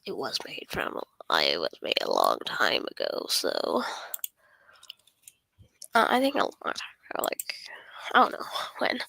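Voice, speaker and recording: Female game streamer, crisp bright tone with fry, breathy, hesitant rambling delivery, pitch swinging widely. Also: dry recording, poor audio. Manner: hesitant, rambling, often trailing off and apologetic. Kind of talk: casual livestream chat.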